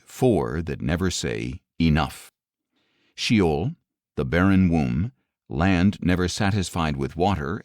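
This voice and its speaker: A man narrating scripture as an audiobook, reading from a script in a measured, solemn and deliberate way. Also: sits low, a little mellow, dry recording, clean studio sound.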